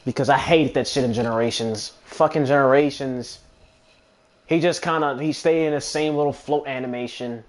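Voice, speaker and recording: A man's voice: medium pitch, fairly even intonation, normal speed. A man giving casual, rambling gameplay commentary and swearing freely. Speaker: gamer commentating on own play. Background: video game music.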